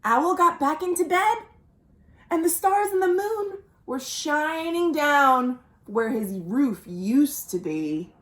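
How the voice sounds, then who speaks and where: A woman reading a picture book aloud for young children as a storytime host, animated, with questions to listeners and dramatic pauses. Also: sits high. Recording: roomy, echoing indoor sound.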